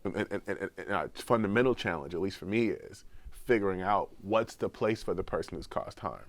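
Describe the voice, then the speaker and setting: A man speaks in a very low voice, stuffy from a cold, and keeps circling back over his words as he builds an argument. The sound is dry and close, with no room around him. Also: animated, fairly quick, dry recording. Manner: earnest, repeats words while searching for them. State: has a cold, sniffling. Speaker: guest researcher. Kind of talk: podcast conversation.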